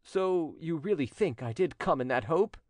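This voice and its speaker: A narrator acting a proud, animated French notary. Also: medium pitch, normal speed, no echo, voice pushed up, natural voice. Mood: wounded dignity. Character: proud, dignified, scheming male French notary feigning innocence.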